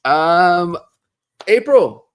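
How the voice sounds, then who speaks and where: A middle-aged man co-hosting a casual live Q&A, chatting easily and reading viewer comments aloud with a joking, relaxed manner. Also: sits high, drawn-out words, clear, resonant tone. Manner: chatty, jokey, reads viewer questions aloud.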